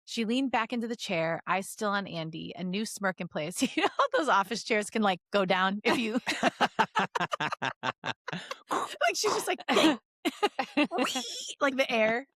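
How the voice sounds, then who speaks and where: A woman's voice: mid-range voice, very animated, takes it slowly, long pauses, a bit bright, no echo. Giggly woman co-host, close dry recording. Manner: giggly, joking. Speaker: podcast co-host. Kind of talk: reading fan fiction aloud with casual comic asides.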